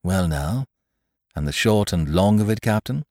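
A man voicing a calm, shrewd gentleman doctor in a measured, courteous way for a storybook. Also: lowish voice, dry recording, clean studio sound, voice pushed up.

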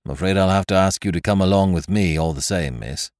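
A man reading a brisk police inspector. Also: low-pitched, a little mellow, no echo, studio quality, natural voice. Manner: brisk, matter-of-fact. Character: gruff, impatient middle-aged police inspector.

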